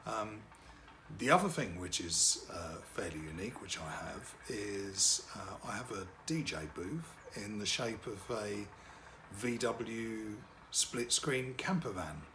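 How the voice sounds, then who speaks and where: A man's voice: on the low side, expressive, slow, noisy background. A middle-aged man, a wedding DJ and MC whose first language is French, talking casually about his work with lots of fillers and repeated words.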